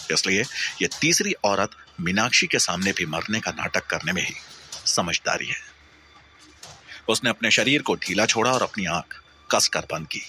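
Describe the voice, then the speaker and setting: A man's voice: fairly low pitch, bright, forceful tone, slight background noise. A man narrating a scripted horror story in a theatrical, suspenseful way.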